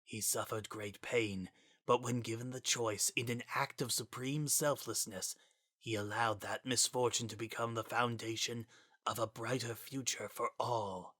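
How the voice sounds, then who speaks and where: A stern spectral scientist voiced by a man with a bright, incisive tone. He lectures in grave, formal language, passing solemn judgment in a dramatized fantasy story. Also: medium pitch, steady tone, unhurried. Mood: stern, judgmental. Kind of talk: dramatic reading of fan fiction.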